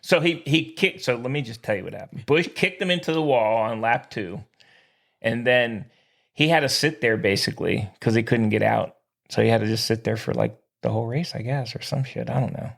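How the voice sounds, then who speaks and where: A man's voice: mid-range voice, fairly quick, gravelly, fairly bright tone. A man co-hosting a sports podcast in casual, joking banter, with a playful, slangy, exclamatory way of talking.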